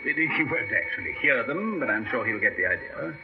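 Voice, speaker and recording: An actor playing a brisk, reassuring doctor in a radio horror drama, with a coaxing, precise way of speaking. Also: male voice, on the low side, sing-song. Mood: instructing. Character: brisk, reassuring male doctor proudly showing his experiment. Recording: dull, noisy old radio recording.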